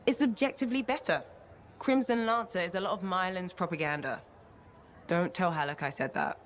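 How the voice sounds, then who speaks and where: An actress playing a bookish, opinionated and dry-witted code-breaker in a video game. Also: female voice, on the low side, brisk, slight background noise, natural voice. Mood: opinionated, playful. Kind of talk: video game dialogue acting.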